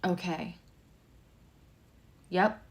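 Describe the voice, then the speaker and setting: Low-voiced woman giving a tarot reading. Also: steady tone, extremely slow. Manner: emotional, chatty, answering viewers by name. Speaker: tarot reader and astrologer. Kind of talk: livestream reading and chat.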